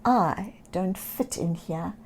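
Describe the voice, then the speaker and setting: A woman voicing a small, lost, homesick male cat in a storybook, speaking softly and almost in a whisper. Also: on the low side, slow pace, slight background noise. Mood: sad. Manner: soft, quiet, near whispering.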